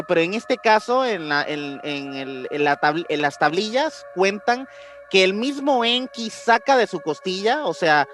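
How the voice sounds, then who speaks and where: A man's voice: high-pitched, fairly bright tone. A man with a Mexican accent hosting a podcast, telling a story casually in slangy speech and often searching for words. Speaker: podcast host.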